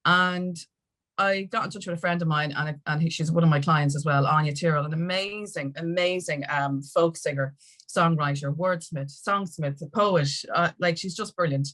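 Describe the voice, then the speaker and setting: A woman's voice: low-pitched, moderate pace, fairly bright tone. An Irish woman telling her story as a podcast guest in a chatty, informal way. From Ireland. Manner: chatty and informal, with mild swearing. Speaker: podcast guest, music consultant and campaigner. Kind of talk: casual chat, personal storytelling.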